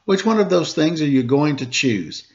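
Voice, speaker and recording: A man's voice: a little high, animated, moderate pace. A man voicing a firm, mildly exasperated woman schoolteacher, chiding in storybook rhyme. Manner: chiding, rhyming recital. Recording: dull, lo-fi sound.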